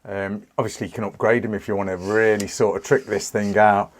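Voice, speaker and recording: A British man in his thirties, a little croaky from a cold, casually reviewing a rifle with many fillers and restarts. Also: on the low side, level tone, a little fast. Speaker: firearms reviewer.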